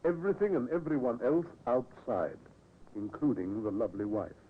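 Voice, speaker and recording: An actor playing a grave, careful consulting doctor in a 1950s radio drama. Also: male voice, mid-range voice, normal speed, close to an everyday voice. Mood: grave, firm. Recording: tinny old radio recording with hiss.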